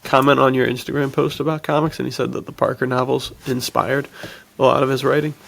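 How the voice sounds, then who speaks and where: A man's voice: mid-range voice, little pitch movement, fast talker, gravelly, fairly bright tone. A man hosting a podcast, chatting casually about films and books in a slangy, enthusiastic, laid-back buddy style. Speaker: podcast host.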